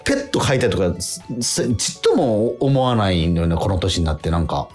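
Animated man chatting on the radio. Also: sits low, fairly quick. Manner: excitable, repeats words and acts out reactions. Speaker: radio show host. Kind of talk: casual radio chat, animated storytelling. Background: faint music bed.

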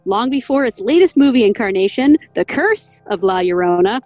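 A woman's voice: mid-range voice, expressive, moderate pace, a little mellow, no echo, dull audio, soft background music. A woman co-hosting a podcast, reading from an article in a casual, chatty way, laughing often and stumbling over names. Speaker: podcast co-host.

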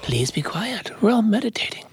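A man voicing a calm, solemn male cat monk in a children's story, quiet, measured and soothing. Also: mid-range voice, close to an everyday voice. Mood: quietly admonishing.

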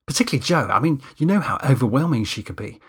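A man's voice: medium pitch, lively intonation, fast talker, slightly rough. A man voicing a flustered, anxious man running a film shoot in an audiobook, hesitant, stammering and defensive. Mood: anxious, spiralling.

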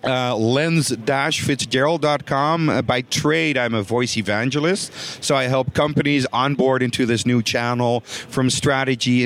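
A man's voice: mid-range voice, a bit bright. A man from the Netherlands with a Dutch accent, a guest on a podcast interview, talking earnestly with many fillers and restarts. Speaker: guest, voice technology consultant.

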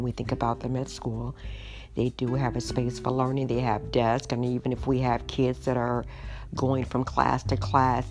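A middle-aged woman hosting a podcast, hoarse tonight, chatting in an unhurried way with frequent ums. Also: a bass voice, a little mellow. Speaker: podcast host. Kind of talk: casual solo podcast talk. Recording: music playing underneath.

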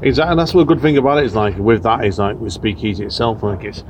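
Fast-talking man interviewing. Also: lowish voice. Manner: rambling, jumps between thoughts, frequent restarts. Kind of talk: casual interview chat. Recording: muffled with traffic noise behind.

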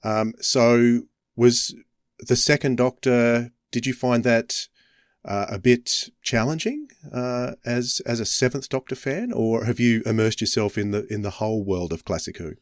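A man asking interview questions conversationally, with tentative, halting phrasing as he builds each question. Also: mid-range voice, dry recording, clean studio sound.

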